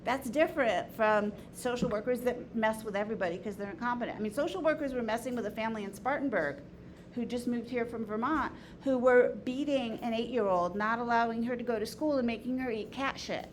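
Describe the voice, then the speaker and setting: Woman leading a discussion over clear noise. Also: fairly high pitch, a little fast. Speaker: discussion leader, teacher. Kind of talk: informal lecture and group discussion. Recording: noisy room recording.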